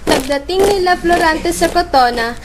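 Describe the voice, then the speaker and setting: High-pitched young Filipino woman narrating. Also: normal speed, heavy background noise. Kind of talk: scripted radio drama narration. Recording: amateur recording under loud music.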